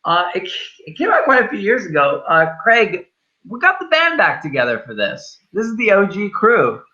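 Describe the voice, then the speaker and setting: A man's voice: high voice, wildly swooping pitch, moderate pace, bright, forceful tone, muffled recording. A man hosting a casual movie review chat, speaking informally and jokily with the odd swear word. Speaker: film review show host.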